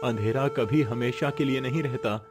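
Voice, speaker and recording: A man's voice: mid-range voice, moderate pace, music in the background, natural voice. A man acting a poor, weary but resolute village father, earnest and comforting, in a cartoon moral story. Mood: solemn, reassuring.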